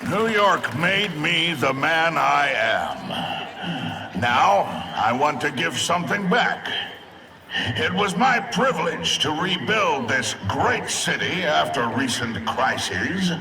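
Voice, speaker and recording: An actor playing an imposing crime boss posing as a civic benefactor in a video game, measured, formal and grandiose. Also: male voice, fairly high pitch, very animated, crowd noise, exaggerated voice, poor audio. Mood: grand, assured.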